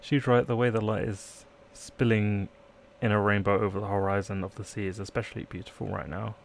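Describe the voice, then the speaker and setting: A man's voice: on the low side, little pitch movement, brisk, gravelly, a little hiss or hum. A man live-streaming a let's play, reading game text aloud and chatting in playful asides. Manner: playful asides, trying out accents.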